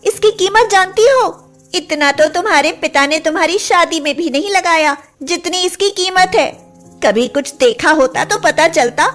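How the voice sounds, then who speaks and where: A woman plays a haughty sister-in-law in a moral-story cartoon. The voice is high and theatrical, with emphatic scolding. Faint music runs behind it. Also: normal speed. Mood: angry.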